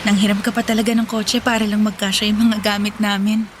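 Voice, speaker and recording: A woman's voice: mid-range voice, little pitch movement, brisk, noisy background, lightly acted. An actress in a Tagalog radio drama playing a playful, teasing young city woman with a breezy, giggly manner. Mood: warm, slightly awkward. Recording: music playing behind the voice.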